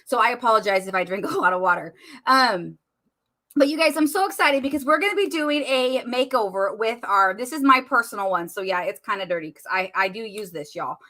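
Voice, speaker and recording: A woman, chatty and bubbly, hosting a live makeup demo while losing her voice and clearing her throat. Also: a little high, expressive, fairly quick, sharp tone. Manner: chatty and bubbly, addressing viewers as y'all. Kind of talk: casual live-stream makeup tutorial.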